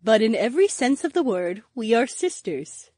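A woman's voice: on the high side, big pitch swings, normal speed, mellow, dry recording, clean studio sound. A woman voicing a caring, anxious young woman in an audiobook, in an earnest, fretful manner. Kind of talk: audiobook character acting.

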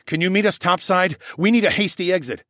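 A man's voice: high-pitched, fairly quick, a bit bright, dry recording, studio quality, voice pushed up. A man voicing an impulsive, emotional young male hero in a fantasy audiobook, blurting urgently and informally.

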